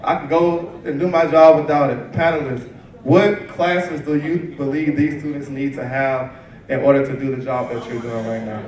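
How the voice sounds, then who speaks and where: A man's voice: medium pitch, steady tone, normal speed. Male moderator, upbeat; far mic, roomy hall, crowd behind. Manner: upbeat, engaging the audience. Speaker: panel moderator. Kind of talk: moderating a student career panel.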